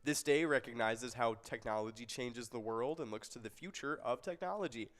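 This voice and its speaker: A young man from Texas co-hosting a live bingo stream, chatting in a lively, rambling way full of "like" and restarts. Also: medium pitch, normal speed. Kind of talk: casual chat and game announcing.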